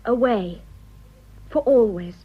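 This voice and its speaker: A woman's voice: a little high, takes it slowly, slightly rough, phone-quality sound. An actress playing a frank, resolute upper-class wife in her twenties in a period radio drama, with a British RP accent and an earnest, composed delivery. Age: 20s.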